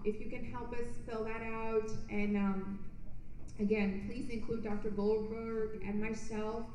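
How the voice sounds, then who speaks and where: Nurse host in an echoey room. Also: female voice, mid-range voice, distant mic, dull audio, a little hiss or hum. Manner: loose, full of ums and restarts. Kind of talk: informal presentation to patients.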